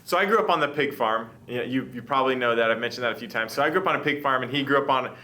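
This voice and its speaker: A man's voice: mid-range voice, racing, bright, forceful tone. A man hosting a casual conversational interview, talking in an enthusiastic, rambling way. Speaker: host, interviewer.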